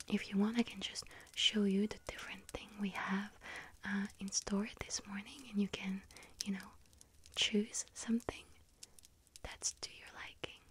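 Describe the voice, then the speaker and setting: A woman acts out a friendly young witch working a bakery counter in an ASMR roleplay. She speaks in a breathy whisper and takes her time, chatting gently with soft, hesitant pauses. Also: mid-range voice, lightly acted. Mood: friendly, chatty.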